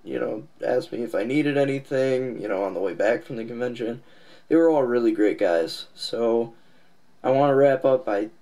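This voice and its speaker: Slow-paced, nasal, stuffed-up man. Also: mid-range voice, dark tone. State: congested, recovering from a cold and lost voice. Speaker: comics channel host. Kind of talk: casual, unscripted channel update to viewers.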